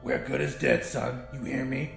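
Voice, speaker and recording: A man's voice: mid-range voice, wildly swooping pitch, normal speed, gravelly, roomy sound, faint music behind, full character voice. A man voicing a big, angry, foul-mouthed cook with a scornful, slangy drawl in an audiobook story. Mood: resigned.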